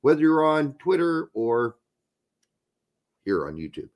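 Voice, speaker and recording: Man, hoarse, high and lively voice, emphatic, casual soccer show host. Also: measured pace. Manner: emphatic, swears when fired up. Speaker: podcast host. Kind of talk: casual live soccer talk.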